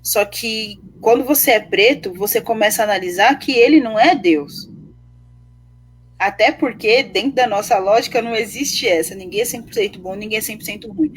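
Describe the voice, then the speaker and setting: A woman's voice: on the high side, normal speed, a little hiss or hum, low-quality recording. A Brazilian woman speaking with passion and arguing her points in a discussion. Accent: Brazilian Portuguese. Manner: impassioned, argumentative. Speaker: panelist.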